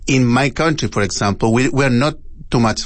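A man from Chile with a Chilean Spanish accent, speaking halting English conversationally as an interview guest. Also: mid-range voice, dry recording. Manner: halting non-native English with repeated tags. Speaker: empanada shop owner, interview guest.

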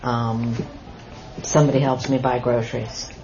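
Rich: An earnest woman author at a bookstore talk, restarting her thoughts and reflecting aloud. She speaks at a middle pitch. The recording is muffled, with faint noise underneath. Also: level tone, moderate pace. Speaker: author and journalist. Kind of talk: book talk and audience Q&A. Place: bookstore event.

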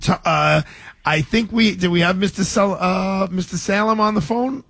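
A man's voice: sits high, moderate pace, gravelly, a bit bright, dry recording. A man hosting a radio talk show, chatting energetically with frequent restarts.

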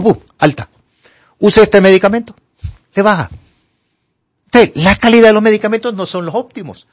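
A Honduran man speaking as a doctor, giving conversational advice emphatically and didactically, asking and answering his own questions. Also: high-pitched. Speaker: doctor, studio guest answering health questions. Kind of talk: conversational medical advice for a broadcast audience. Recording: dull, lo-fi broadcast audio.

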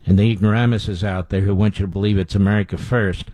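Blunt low-voiced male radio host. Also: steady tone, fast, dark tone, no echo. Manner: blunt and scornful, with many fillers. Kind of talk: opinionated radio commentary.

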